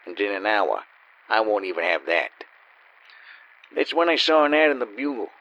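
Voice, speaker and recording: An actor playing a cynical, down-and-out gambler narrating a noir radio play in a wry, world-weary way. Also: male voice, fairly low pitch, big pitch swings, moderate pace. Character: down-and-out cynical male gambler and con man. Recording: dull, slightly lo-fi.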